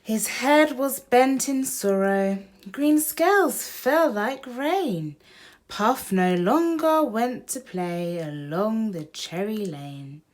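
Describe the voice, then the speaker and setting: A woman's voice: on the high side, lively intonation, takes it slowly. A woman hosting a children's picture book read-aloud, speaking directly to young listeners.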